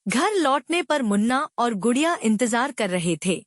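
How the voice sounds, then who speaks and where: A woman narrating a cartoon moral story in scripted, expressive storybook narration. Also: fairly high pitch, a little slow, slight background noise.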